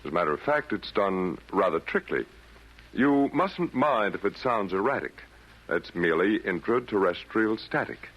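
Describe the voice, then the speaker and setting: A man narrating a scripted 1940s radio broadcast as announcer, formal, measured and theatrical. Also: fairly low pitch, big pitch swings, fairly bright tone, slightly rough, poor audio.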